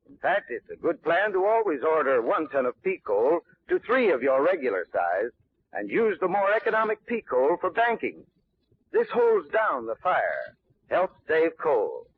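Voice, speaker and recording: A man's voice: on the high side, big pitch swings, moderate pace, slightly rough. A man giving a scripted 1940s radio commercial as a folksy, instructive expert. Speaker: advertising spokesman, heating expert. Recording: tinny 1940s radio recording.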